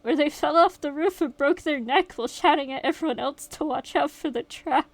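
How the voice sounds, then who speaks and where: A woman's voice: high-pitched, brisk, pitched above the performer's own voice. A woman reading as a shaken young survivor. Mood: grim retelling. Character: shaken young survivor recounting a horror night.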